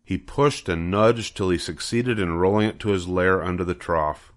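A man's voice: low-pitched, moderate pace. An older man narrating a children's book as a storybook reader.

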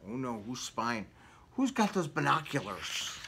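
A man's voice: mid-range voice, big pitch swings, unhurried, vocal fry, sharp tone, slight background noise. A man reading a picture book aloud to children, theatrical, with casual questioning asides. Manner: theatrical, asks the young listeners questions. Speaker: children's story reader. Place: indoor room with book cut-outs.

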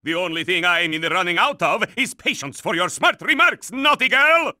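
A man's voice: high voice, lively intonation, normal speed, bright, forceful tone, no echo, clean studio sound, exaggerated voice. A man voicing a creepy, scolding villain count in a cartoon video game, hammy and menacing. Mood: irritated. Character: creepy theatrical villain count who scolds naughty children.

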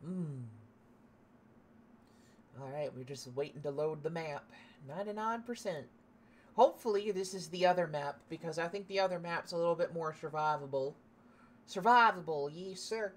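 A woman's voice: lowish voice, normal speed, pauses often. Chatty Canadian woman gaming. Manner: chatty, laughs, swears casually. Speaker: streamer. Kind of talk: casual gameplay commentary.

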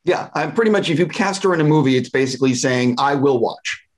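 A man's voice: mid-range voice, expressive, fast talker. A man in his forties conducting a casual interview over a video call from a room at home. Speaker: entertainment interviewer. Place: small room at home, indoors.

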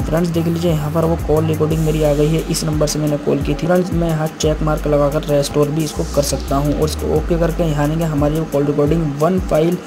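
A man with an Indian accent giving a step-by-step tech tutorial as a host, addressing viewers as friends in a repetitive way. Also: fairly high pitch. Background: background music.

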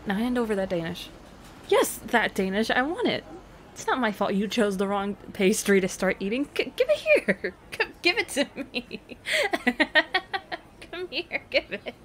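Woman acting a slow-talking, playful affectionate girlfriend. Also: medium pitch, sing-song, slight background noise. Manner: teasing, giggly, hesitant with stammered restarts. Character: playful, affectionate young secret girlfriend on a cafe date. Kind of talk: intimate girlfriend roleplay audio, acted as casual chat.

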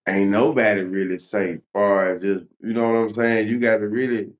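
A man with a fairly low voice hosts a casual interview. His talk is conversational and full of slang, with a reflective turn to how he puts things. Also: steady tone, normal speed. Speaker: interviewer, blogger.

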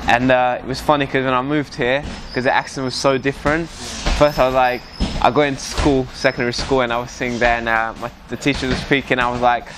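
Halting teenage Hungarian lad, flat voice. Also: male voice, medium pitch, noisy background. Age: teens. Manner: halting, many fillers and restarts. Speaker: amateur MMA fighter. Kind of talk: casual interview. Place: bright gym beside a fight cage.

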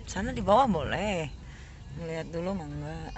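A woman's voice: low-pitched, lively intonation, normal speed. A woman casually narrating a family road trip from inside a car. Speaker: family travel vlogger in the passenger seat. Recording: noisy car interior, road and engine hum.

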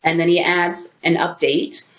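A woman's voice: on the low side, fairly even intonation, measured pace, fairly bright tone. Sick, chatty woman vlogger with a sore throat. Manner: chatty, frank and profane, with frequent laughs and asides. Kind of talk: casual talking-head commentary. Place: small room at home, indoors. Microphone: webcam at desk distance. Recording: echoey room, dull lo-fi webcam sound.

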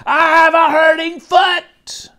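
A man voicing a stubborn little storybook girl in a tantrum, protesting loudly in drawn-out whines. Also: extremely high pitch, flat delivery, voice pushed up, heavily acted. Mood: whiny complaint. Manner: loud, whining, drawn-out protests. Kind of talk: storybook character voice.

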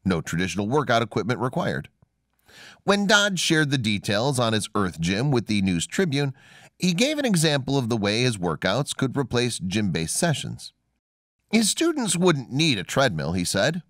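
Lively male narrator, close and dry. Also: medium pitch, moderate pace, dry recording. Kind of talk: scripted documentary-style narration.

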